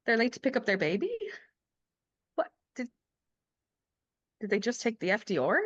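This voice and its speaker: A high female voice, an actress playing a part with wide, swinging intonation. She is a sardonic, fiercely emotional mother in a drama script read, emphatic and broken in her phrasing. The audio is slightly dull and lo-fi. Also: lively intonation. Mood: disbelieving. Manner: sarcastic, halting when upset, emphatic. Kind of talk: live screenplay table read, dramatic acting.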